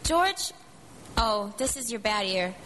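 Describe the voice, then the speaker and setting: A woman's voice: mid-range voice, expressive, takes it slowly, fairly bright tone. A woman voicing a sweet, shy, earnest young girl in a live radio drama. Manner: earnest, polite. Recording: reverberant stage hall, background noise.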